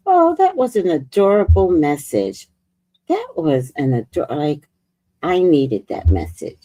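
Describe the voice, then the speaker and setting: A woman's voice: deep voice, very animated, dark tone. A woman vlogger giving blunt, profane commentary as she reacts to clips. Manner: outspoken, profane, drawn-out emphatic delivery. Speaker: vlogger host.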